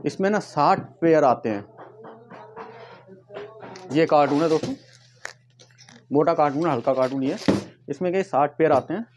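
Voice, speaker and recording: A man casually pitching products to camera, often addressing viewers as friends and leaning on repeated verbal tics. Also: moderate pace, long pauses, a little mellow, soft background music. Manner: repetitive filler phrases, addresses viewers as friends. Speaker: vlogger and shoe seller. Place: tiled room at home with stock boxes, indoors. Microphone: clip-on lapel mic. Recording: noticeable background noise, clicks.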